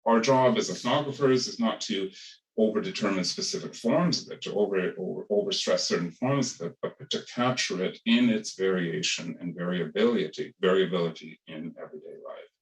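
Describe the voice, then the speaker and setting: Low-voiced man, hesitant academic talk. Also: brisk. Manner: thoughtful, hesitant with many restarts. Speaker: panel speaker, ethnographer. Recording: echoey room, far from the mic.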